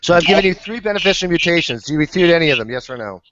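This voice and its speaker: A man with a fairly high voice hosts a heated live debate. He presses insistently for straight answers. The sound is close and dry but dull, like an online group call. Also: lively intonation, very fast, fairly bright tone, dry recording. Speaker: host moderating a debate panel.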